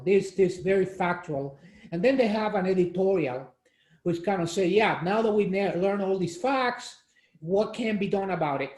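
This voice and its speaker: A man's voice: high voice, darkish tone, roomy sound. A man in his sixties, born in Cuba, leading a casual group discussion on a video call, explaining an article with many fillers and restarts. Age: 60s. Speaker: discussion leader.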